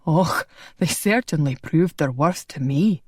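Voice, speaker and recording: A woman voicing a gruff, protective Scottish male vampire warrior with a Scottish accent. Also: deep voice, lively intonation, a little slow, no room sound, clean studio sound, exaggerated voice. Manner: gruff, fatherly.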